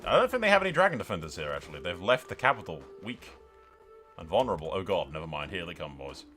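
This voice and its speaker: A man's voice: deep voice, sing-song, fast, sharp tone. A man hosting a let's play in a casual, sweary, sarcastic way, with theatrical storytelling flourishes. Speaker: gaming video host. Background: music.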